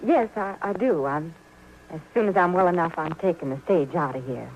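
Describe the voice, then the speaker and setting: An actress playing a sad, guarded frontier woman with halting American speech, in old-time radio western style. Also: lowish voice, a little mellow, close to an everyday voice. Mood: sad, hesitant. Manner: halting, stammering, reticent. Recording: muffled, hissy old radio recording.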